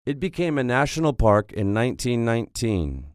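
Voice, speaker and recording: A man narrating English textbook listening tracks in a slow, clearly spoken way. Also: medium pitch, big pitch swings, no room sound, studio quality. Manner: slow, clearly articulated announcing.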